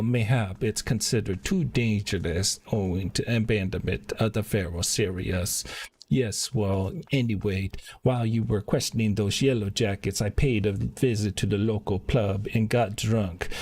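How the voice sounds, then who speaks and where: A man's voice: lowish voice, a little mellow, a little hiss or hum. Man reading as a wordy, pompous fantasy scholar. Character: fussy, wordy, self-important fantasy scholar. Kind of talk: game quest dialogue read aloud.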